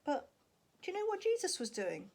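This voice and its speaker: A woman telling a story to young children in an animated, expressive way, prompting with questions and acting out sound effects. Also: very high-pitched, moderate pace.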